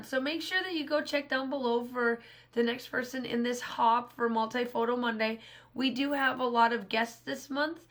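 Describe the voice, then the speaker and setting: A woman in her thirties with a hoarse, scratchy voice, casually talking through a scrapbooking craft project in a rambling way. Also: on the high side, a bit bright. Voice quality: rambling, restarts often. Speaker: scrapbooking channel host. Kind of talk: casual voice-over narration of a craft process.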